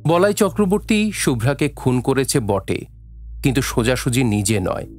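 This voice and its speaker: A man's voice: medium pitch, very animated, moderate pace. A man narrating a scripted dramatic story as the narrator of an audio play. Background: faint music.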